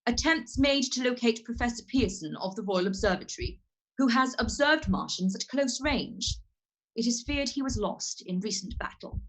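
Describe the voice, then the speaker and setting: A composed radio news announcer reads a string of urgent bulletins in a radio drama, as a woman plays her. Her voice sits a little high, and her delivery stays crisp and steady throughout. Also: low-quality recording. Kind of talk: scripted bulletin reading.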